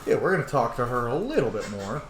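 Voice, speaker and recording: A man's voice: mid-range voice, sing-song, fast talker, fairly bright tone. A man giving casual commentary as he plays a game, reacting aloud in an informal way. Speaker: game streamer. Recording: echoey room, faint background noise.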